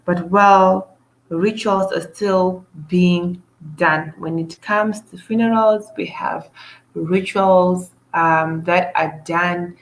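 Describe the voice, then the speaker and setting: A woman from Zimbabwe hosts her own show in a casual monologue. Her voice sits on the low side and she talks slowly. She pauses on "um" and restarts her sentences again and again. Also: fairly even intonation, poor audio. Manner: hesitant, many "um" fillers and restarts. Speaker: show host.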